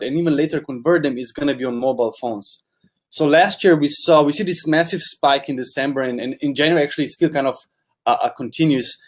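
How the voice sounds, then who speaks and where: A young man who is not a native English speaker, giving a webinar presentation in an earnest way, often repeating words and saying "kind of". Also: fairly high pitch. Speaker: guest speaker, product specialist.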